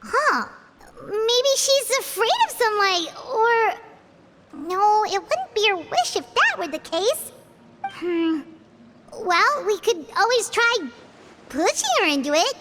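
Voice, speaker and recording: A woman voicing a tiny, excitable fairy companion in a game, who talks about herself in the third person. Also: very high voice, takes it slowly, slight background noise, exaggerated voice. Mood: chirpy. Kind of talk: game voice acting.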